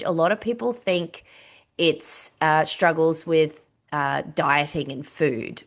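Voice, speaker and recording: A woman co-hosting a podcast in casual chat, husky from a cold, chatty with frequent laughs and fillers. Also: lowish voice. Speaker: podcast co-host.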